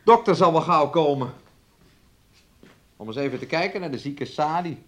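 A man's voice: fairly high pitch, lively intonation, normal speed. An actor in a Dutch radio drama playing a volatile, taunting working-class man who swings between scorn and coaxing. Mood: taunting, possessive.

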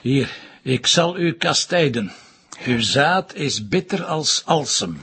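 A Flemish actor playing a blunt, sceptical, impatient police commissioner in a TV crime drama, with a rough husky voice. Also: male voice, medium pitch, lively intonation, slow pace, bright, forceful tone, slight background noise, exaggerated voice. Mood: mock solemn. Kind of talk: naturalistic TV crime drama acting.

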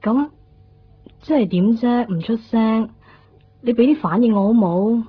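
A woman's voice: fairly high pitch, unhurried, breathy edge, soft background music. An actress playing a reserved but restless young woman in a 1990s radio drama, with a Hong Kong Cantonese accent. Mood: pleading. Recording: dull 1990s radio recording.

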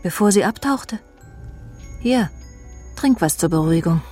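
A woman's voice: on the low side, lively intonation, measured pace, mellow, soft background music. An actress playing a cold, scheming friend in a radio crime drama, sardonic and matter-of-fact as she speaks and narrates. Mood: dismissive. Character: cold, scheming woman, spiteful friend, narrating her own deeds.

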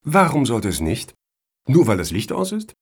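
An actor playing a self-assured, unruffled foundation official in a satirical radio play, dry and needling in manner. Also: male voice, mid-range voice, wildly swooping pitch, normal speed, slightly rough. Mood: slyly assured. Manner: dry, matter-of-fact, needling.

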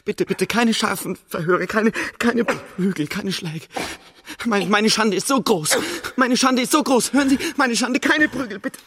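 Frightened young king, broken and pleading; high, slow, obsessively repetitive delivery for radio drama. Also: a bit bright, slightly rough, full character voice. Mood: terrified pleading. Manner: halting, obsessively repeating, pleading. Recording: long reverberant hall.

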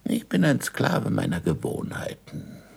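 An older actor playing a weary, disgraced scientist in a German radio play, guarded and bitterly ironic, with a gravelly, often hushed voice. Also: male voice, medium pitch, big pitch swings, measured pace, in a whisper, heavily acted. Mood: hushed, confiding.

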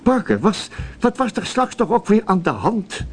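An actor playing a flustered, excitable sheriff in a comedy radio play, stammering, rambling and repeating himself. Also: male voice, sits high, normal speed, slight background noise. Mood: groggy, bewildered. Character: flustered, excitable American small-town sheriff, just woken.

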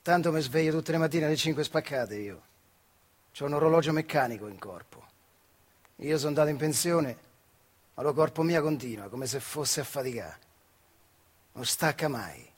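Casting: retired factory worker, earthy storyteller, Marche accent, raspy and fairly high-voiced, stage monologue. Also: male voice, lively intonation, normal speed, long pauses. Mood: plain, wry. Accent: central Italian, Marche. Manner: earthy, colloquial, acts out dialogue. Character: retired Italian factory worker recalling his wartime boyhood. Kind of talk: theatrical monologue storytelling in dialect-tinged speech.

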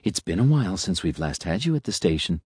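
A man voicing a polite, complacent talking elevator that muses like a philosopher, speaking softly and dryly. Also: deep voice, big pitch swings, quick pace, breathy edge, no echo, clean studio sound, full character voice. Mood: courteous. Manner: polite, complacent, quietly musing.

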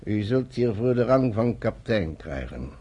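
An actor playing a formal, measured British general in a radio drama, speaking with authority. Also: male voice, lowish voice, very animated, mellow, husky, lo-fi sound. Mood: commanding, businesslike. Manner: formal, authoritative, deliberate phrasing. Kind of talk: Dutch radio drama acting.

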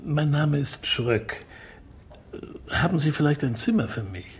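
A dry, polite detective with a creaky, lively-pitched voice. He is understated and patiently probing, a deadpan radio-play investigator, with light noise behind him. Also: unhurried. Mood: polite, casual. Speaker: actor in a radio crime comedy. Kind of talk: German radio play dialogue.

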